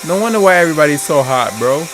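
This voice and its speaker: A man's voice: a little high, lively intonation, fast talker, poor audio. A man giving a casual, slangy, exclamatory live reaction as he streams game trailers. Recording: music behind the voice. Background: game trailer music.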